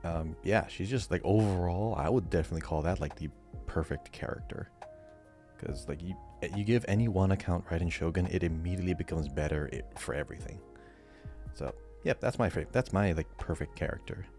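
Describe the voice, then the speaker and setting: A man's voice: deep voice, fairly quick, a little mellow, soft background music. A man talking casually as co-host of a podcast. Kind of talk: casual chat.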